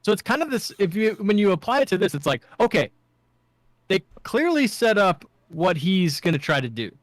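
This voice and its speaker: A man's voice: sits high, big pitch swings, moderate pace, no echo. A man co-hosting a casual pop culture discussion, agreeable and explaining his points with examples. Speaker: podcast co-host.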